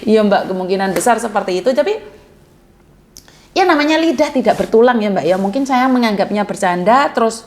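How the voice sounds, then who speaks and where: A fairly high-voiced woman talks on a call-in advice show. She is blunt and outspoken, with no softening of her words, and she mixes into her Indonesian as she talks to a caller. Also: animated, a little fast. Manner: blunt, outspoken, self-described coarse way of speaking. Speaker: host of a call-in advice channel. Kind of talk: live call-in chat. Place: Hong Kong.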